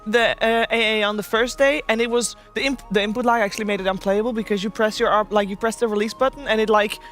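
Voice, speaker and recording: Very high-voiced bright male analyst. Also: fairly even intonation, fast, faint music behind. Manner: steers the panel, chatty. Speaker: esports analyst. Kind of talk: casual studio panel chat.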